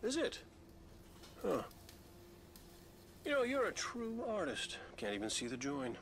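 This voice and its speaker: An actor playing a cocky, roguish robot scout in a video game, with a flippant, sardonic way of talking. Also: male voice, high-pitched, wildly swooping pitch, unhurried, fairly bright tone, slight background noise, exaggerated voice. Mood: playful, sly. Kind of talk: cinematic game acting.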